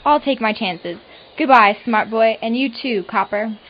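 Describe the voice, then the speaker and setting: Woman playing a greedy femme fatale, cold, higher-pitched, noir radio play, muffled noisy recording. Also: a little slow, natural voice. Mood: cold, threatening. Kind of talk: noir audio drama acting. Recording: dull lo-fi recording, clear noise.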